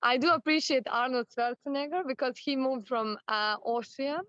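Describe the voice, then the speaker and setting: Serbian-accented woman, higher voice, slightly dull sound. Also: normal speed, a bit bright, no echo. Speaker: interview guest, actress. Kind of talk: casual interview talk.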